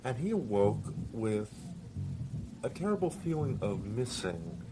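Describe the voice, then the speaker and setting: A man telling a story in an informal, conversational way, halting and pausing mid-sentence. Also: fairly low pitch, big pitch swings, rough recording. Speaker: storyteller reading an original story. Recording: music playing behind the voice. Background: music.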